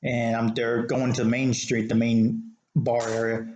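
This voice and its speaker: A man with a mid-pitched voice tells stories in a casual, meandering way, often stopping and restarting with plenty of "like"s. He is recorded indoors in a living room, with noticeable room echo around his voice. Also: little pitch movement, a little mellow, muffled recording. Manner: rambling and halting, peppered with "like". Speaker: show host, rideshare driver telling stories. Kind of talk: casual chat, storytelling. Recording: roomy, echoey living room.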